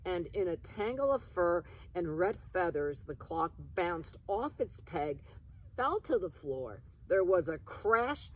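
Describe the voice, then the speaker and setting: A woman reading a children's story aloud in an expressive storytelling style. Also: fairly low pitch, husky, recorded from a distance. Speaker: reader of a children's book.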